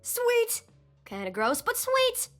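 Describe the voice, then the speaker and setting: A woman's voice: very high-pitched, slow pace, faint music behind, exaggerated voice. A woman playing a small, brash, reckless female yordle cannoneer who loves explosions, in video game voice lines. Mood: excited. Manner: brash, gung-ho, excitable.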